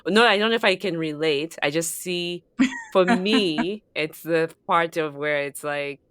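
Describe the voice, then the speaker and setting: A woman's voice: low-pitched, a little slow, fairly bright tone, clean tone, dry recording, clean studio sound. A woman co-hosting a podcast in casual conversation, speaking in an affirming, reflective way. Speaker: podcast co-host.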